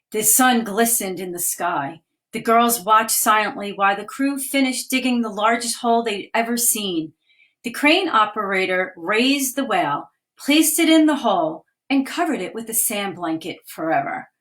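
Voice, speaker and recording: A woman, a children's author, reading her picture book aloud in a livestream with clear, expressive delivery and chatty asides. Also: mid-range voice. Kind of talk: children's storytime read-aloud. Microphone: webcam or phone for a livestream.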